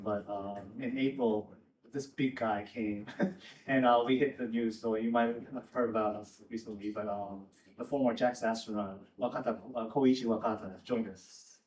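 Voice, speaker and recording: A man from Japan introducing himself at a panel event, easygoing and chatty, with plenty of "uh"s and light laughs. His voice sits at an ordinary middle pitch. It comes from some way off the mic, with the echo of a large room around it. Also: normal speed, a little mellow. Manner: friendly, laughs between phrases, many fillers. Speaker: panelist, sales manager. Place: event space with audience. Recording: roomy event space, distant mic.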